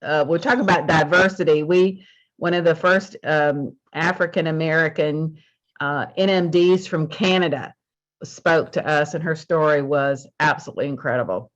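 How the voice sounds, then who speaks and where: A woman's voice: low-pitched, normal speed. A woman hosting a team video call, thanking speakers and encouraging the team. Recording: muffled video-call audio.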